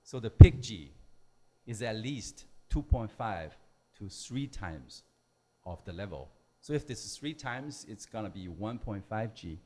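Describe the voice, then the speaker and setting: A man who is a non-native English speaker giving a technical lecture in halting, simple phrases. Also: on the low side, animated, mellow. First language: not English. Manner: halting, hesitant delivery with simple broken phrasing. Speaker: technical presenter at a symposium.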